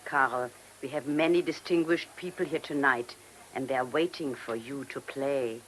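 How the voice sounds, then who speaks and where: An actress playing a poised, guarded Viennese restaurant hostess in a radio play, with a German accent and formal delivery. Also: female voice, a bass voice, moderate pace, slight background noise, low-quality recording. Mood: cool, poised. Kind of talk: radio drama acting.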